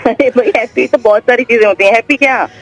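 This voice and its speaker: A woman's voice: fairly high pitch, fast talker, highs cut off, faint music behind. A woman with an Indian accent in a casual phone chat, questioning and reacting to the caller. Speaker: prank call recipient.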